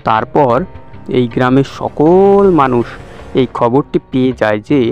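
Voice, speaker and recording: Mid-pitched man narrating a cartoon story. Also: animated, measured pace, muffled recording. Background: background music.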